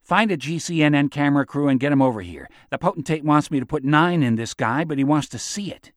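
A man narrating a story, voicing a gruff, hot-tempered male enforcement chief who talks in curt, menacing barks. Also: fairly high pitch, animated, fast talker, no room sound, clean studio sound, natural voice.

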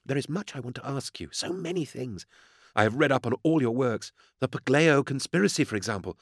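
A man's voice: medium pitch, expressive, a little fast, husky, no room sound, clean studio sound, pitched above the performer's own voice. A man voicing an eager, earnest young inquisitor in an audiobook, with a gushing, deferential and hesitant manner. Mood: earnest, breathless. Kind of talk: audiobook character dialogue.

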